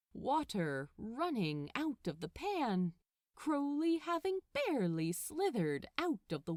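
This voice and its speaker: Highly expressive woman storyteller, unhurried pace. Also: dry recording, studio quality. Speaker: podfic narrator. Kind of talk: expressive scripted story narration.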